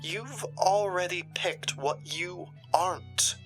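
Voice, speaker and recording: A woman's voice: on the low side, slow, faint music behind. A woman playing a haughty, sardonic, ruthless occult shopkeeper in a theatrical, condescending drama style. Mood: grave, bargaining. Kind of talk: dramatic audio roleplay acting.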